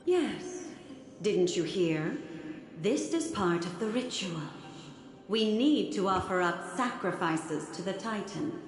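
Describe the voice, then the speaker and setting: An actress playing a cold, devout cultist in a video game, speaking deliberately. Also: female voice, mid-range voice, lively intonation. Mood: zealous. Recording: echoing game dialogue over music.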